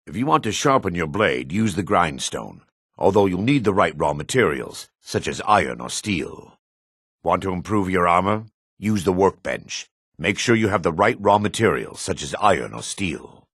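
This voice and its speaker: A man voicing a gruff, dry-mannered dark elf warrior and townsman in a fantasy video game, with a gravelly, husky voice. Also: deep voice, dry recording, heavily acted. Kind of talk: video game character voice lines.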